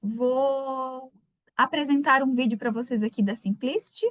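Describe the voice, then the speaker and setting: A woman's voice: high-pitched, unhurried, dry recording. A woman from southern Brazil with a light Gaúcho accent, hosting a live webinar from a computer mic and chatting with viewers. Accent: light Gaúcho Brazilian Portuguese. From Rio Grande do Sul, Brazil. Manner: chatty, warm greetings to viewers by name. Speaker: webinar speaker.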